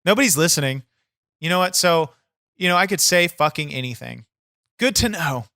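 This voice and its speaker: A man's voice: a little high, animated, moderate pace, creaky, pushed and bright, dry recording, clean studio sound, heavily acted. A man playing a bitter, boastful wrestler persona on a comedy podcast, crude, swearing and easily irritated. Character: brash, bitter, foul-mouthed male wrestler persona. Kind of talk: improvised comedy podcast chat.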